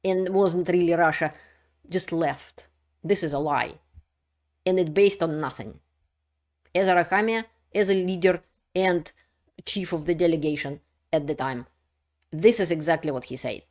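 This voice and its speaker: A woman's voice: on the low side, moderate pace, pauses often, darkish tone. A woman giving earnest, insistent political commentary in non-native English, with frequent restarts. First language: not Ukrainian. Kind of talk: unscripted commentary to camera.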